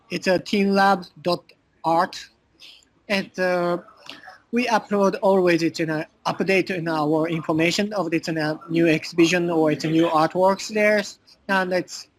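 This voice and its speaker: A man with a Japanese accent answering as an interview guest, speaking halting non-native English full of filler phrases. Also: high voice, no echo, poor audio. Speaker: interview guest, art collective communications director.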